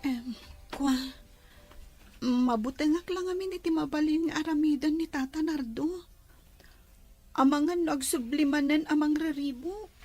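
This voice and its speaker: A woman's voice: high voice, a little slow, pauses often, a little mellow. A woman playing a timid, anxious, dutiful young daughter in a radio drama. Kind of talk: Ilocano radio drama acting.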